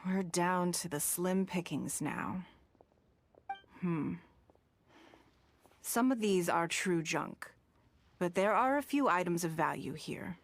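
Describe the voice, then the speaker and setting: A woman's voice: on the low side, lively intonation, takes it slowly, long pauses, a little hiss or hum. An actress playing a shrewd, wealthy noblewoman in a video game, composed, assured and refined. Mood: appraising. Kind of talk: video game character acting.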